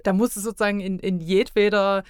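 A woman's voice: medium pitch, fairly even intonation, fairly quick, clean tone, no room sound, studio quality. A middle-aged woman talking casually as a podcast guest, often hesitating and searching for words. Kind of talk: casual conversational discussion.

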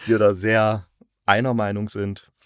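A man's voice: lowish voice, completely flat delivery, measured pace, a bit bright, clean tone, dry recording, clean studio sound. A man hosting a podcast, chatting casually through a review in an informal, colloquial way.

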